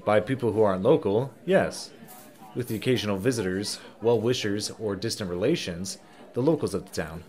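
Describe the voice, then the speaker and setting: A man playing a chatty, easygoing small-town local with a folksy, expressive manner in an audio drama. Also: lowish voice, fairly quick, close to an everyday voice. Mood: genial, chatty.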